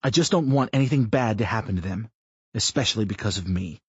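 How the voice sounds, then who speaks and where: A weary, earnest father in an audiobook, voiced by a man. He pleads softly, with a husky, lively voice. The recording is dull and dry. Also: fairly low pitch, fast talker, no echo. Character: troubled, earnest middle-aged father.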